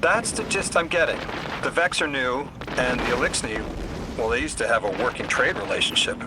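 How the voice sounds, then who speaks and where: An actor playing an earnest, empathetic field negotiator in a sci-fi game, talking in a conversational, slightly hesitant way. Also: male voice, medium pitch, brisk, very noisy, close to an everyday voice. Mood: relieved, matter-of-fact. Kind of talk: video game dialogue acting. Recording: very loud music behind the voice.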